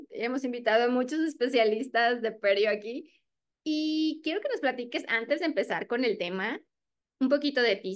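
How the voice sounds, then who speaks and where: A woman hosting an interview, asking friendly questions and giving short backchannels. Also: high-pitched. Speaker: interview host. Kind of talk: casual interview chat.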